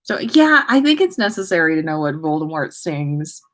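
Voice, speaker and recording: A woman's voice: sits low, sing-song, normal speed, a bit bright. A woman hosting a livestream, reading stories and chatting casually in a playful manner. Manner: giggly, playful. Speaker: podcast host reading listener stories.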